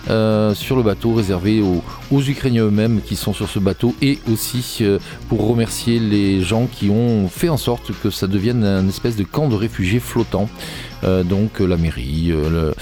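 A man's voice: on the low side, mellow. A man hosting a radio music show, talking off the cuff in a hesitant, digressive way with frequent reformulations. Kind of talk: unscripted radio presenting between songs. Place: radio studio.

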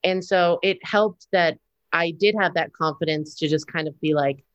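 A woman's voice: low-pitched, normal speed, muffled recording. A woman in her thirties speaking as a guest in a casual podcast chat, outspoken and telling stories with acted-out quotes. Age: 30s. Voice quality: loud voice. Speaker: interview guest, wedding business owner.

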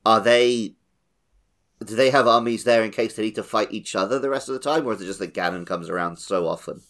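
A man's voice: fairly low pitch, a little fast, a bit bright. A man hosting a podcast, chatting casually about a video game. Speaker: podcast host.

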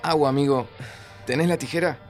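A man's voice: a little high, brisk, soft background music, lightly acted. An actor playing a cautious, skeptical young man with an Argentine accent, dry and informal, in a naturalistic audio drama. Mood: exasperated, skeptical. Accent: Argentine Rioplatense. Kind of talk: naturalistic audio fiction acting.

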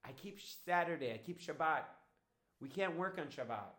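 A man voicing a devout seamstress in an oral story, earnest and steadfast, halting and repeating herself. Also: a little high, lively intonation, sharp tone. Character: devout young seamstress, pleading yet proudly steadfast. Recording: echoey room.

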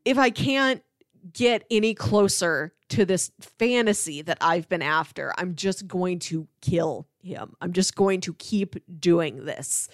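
A woman's voice: lowish voice, lively intonation, slow, no echo, studio quality. A woman co-hosting a podcast, casually analysing a film in an analytical way, with emphatic asides and paraphrases. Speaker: podcast co-host discussing a film.

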